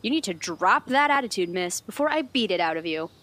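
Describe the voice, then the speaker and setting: A woman's voice: medium pitch, lively intonation, brisk, fairly bright tone, close to an everyday voice. A woman playing a combative, scrappy young fighter in an audio drama, brash and taunting. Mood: angry, taunting.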